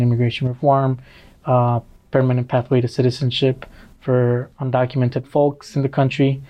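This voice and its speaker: Relaxed young man from Mexico. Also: medium pitch, highs cut off. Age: 20s. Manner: relaxed, joking, thoughtful. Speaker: podcast guest. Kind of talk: casual interview conversation.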